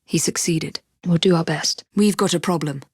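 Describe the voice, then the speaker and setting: An actress playing a poised, determined young Victorian woman assassin in a video game, with an English accent and crisp, formal diction. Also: lowish voice, normal speed, darkish tone, dry recording, studio quality. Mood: composed, purposeful. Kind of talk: scripted video game voice lines.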